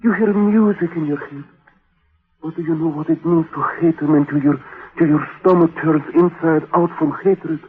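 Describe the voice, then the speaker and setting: An actor playing a sinister, bitter musician, insinuating and intense in 1940s radio drama style. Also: male voice, a little high, fairly even intonation, moderate pace, a little hiss or hum, natural voice. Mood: bitter hatred. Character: sinister, bitter cafe musician, coaxing and hypnotic. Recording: tinny band-limited old radio recording.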